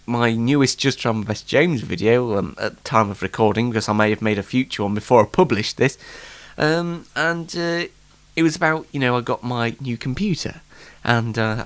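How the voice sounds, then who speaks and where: A British man hosts his own homemade talk show alone at the microphone, with a slightly blocked nose. His pitch jumps around in an animated way as he rambles without a script, poking fun at himself and sounding mock-exasperated. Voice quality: congested, bunged-up nose. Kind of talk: casual, unrehearsed solo show chat. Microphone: microphone, speaking alone.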